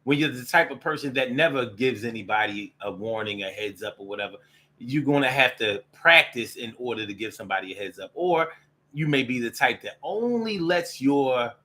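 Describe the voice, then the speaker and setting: A man's voice: medium pitch, bright, forceful tone. An American man giving a casual, opinionated solo monologue in streetwise slang, repeating phrases for emphasis. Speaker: solo show host.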